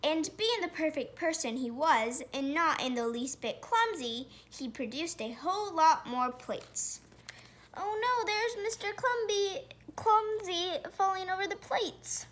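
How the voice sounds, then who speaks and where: Woman, children's story reader, unhurried delivery, bright tone, pauses for pictures and questions. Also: extremely high pitch, lively intonation. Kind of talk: reading a children's picture book aloud.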